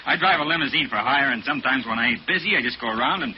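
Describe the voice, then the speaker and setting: An actor playing a brash, excitable working-class limousine driver in 1940s radio style, blurting streetwise slang. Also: male voice, medium pitch, racing, natural voice. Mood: chummy. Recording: muffled 1940s radio, faint noise.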